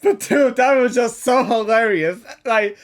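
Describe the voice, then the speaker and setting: A woman's voice: on the high side, unhurried. A woman giving casual, informal reaction commentary as she plays through a game, exclamatory and giggly. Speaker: gaming reaction streamer.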